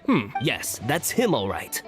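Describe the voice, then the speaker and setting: A man playing a polite but firm officer of the law in a video game, brisk and officious. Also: medium pitch, wildly swooping pitch. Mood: firm, official. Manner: officious, businesslike. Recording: game music clearly behind.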